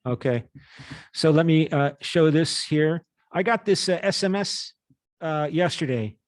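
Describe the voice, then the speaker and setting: Higher-pitched man in his fifties, lecturing. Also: a little slow, no echo, studio quality. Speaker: university lecturer. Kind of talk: lecture, reading an article aloud with asides.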